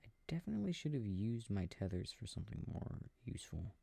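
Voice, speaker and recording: A man with a mellow, gravelly voice speaks very softly, close to the microphone in a dry space. He muses to himself at an unhurried, slow pace, often dropping near a whisper, like a sleep-aid ASMR performer playing a game. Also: fairly low pitch, very animated, no room sound. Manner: quiet, unhurried musing to himself. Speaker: audio roleplay performer. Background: game controller clicking.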